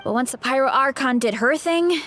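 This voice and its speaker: A woman playing a sweet, absent-minded young warrior girl who talks haltingly, in an anime game. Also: high voice, a bit bright. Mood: flustered, earnest. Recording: music behind the voice.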